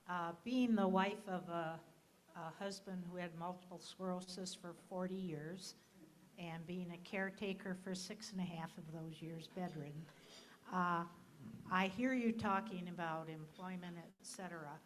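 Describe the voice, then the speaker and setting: A woman's voice: lowish voice, steady tone, moderate pace, slight background noise, poor audio. A woman in the audience speaking emphatically and with feeling about caregiving. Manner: emphatic, heartfelt. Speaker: audience member. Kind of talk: question from the floor.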